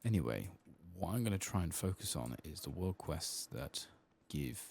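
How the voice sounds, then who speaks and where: Man, extremely low pitch, gravelly fry, soft ASMR gaming commentary, casual muttering, faint background noise. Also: very animated, moderate pace. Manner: casual, rambling, muttering to himself. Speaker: streamer playing a video game.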